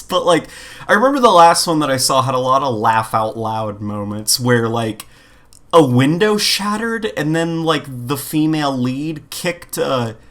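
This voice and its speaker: Animated man in casual podcast chat. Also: a little high. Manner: informal, filler-heavy, animated. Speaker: podcast co-host.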